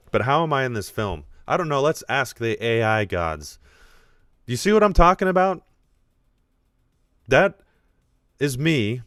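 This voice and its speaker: A man with a deep voice hosting a live podcast, talking casually and animatedly, thinking out loud with frequent restarts. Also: no echo, studio quality. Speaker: podcast host.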